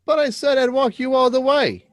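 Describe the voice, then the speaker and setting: A man's voice: very high voice, moderate pace, dry recording. A man playing a curious, persistent but courteous schoolboy in a live radio drama reading, earnest and questioning. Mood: insistent.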